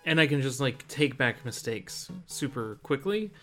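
A man's voice: medium pitch, animated, normal speed, a bit bright, soft background music. A man co-hosting a podcast, chatting casually about video games. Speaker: podcast host. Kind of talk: casual video game chat.

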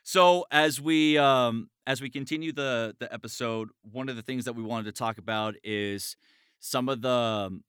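A man's voice: mid-range voice, moderate pace, fairly bright tone, smooth, no room sound, clean studio sound. A man hosting a show in a casual, chatty interview style. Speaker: show host.

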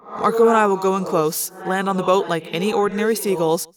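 A woman's voice: medium pitch, fast talker, a crowd in the background, lightly acted. A woman voicing a practical teenage boy leader in an audiobook, with a curt, dry, businesslike manner. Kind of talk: audiobook character voice.

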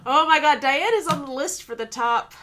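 A woman's voice: high voice, moderate pace, fairly bright tone. A woman co-hosting a podcast, teasing the others and giggling as she chats. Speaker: podcast co-host. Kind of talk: casual chat while playing a game.

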